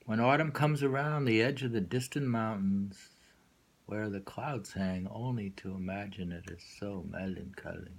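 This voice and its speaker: A man reading poems aloud with informal commentary, unhurried and musing, leaving pauses as he goes. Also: lowish voice, vocal fry. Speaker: poetry reader.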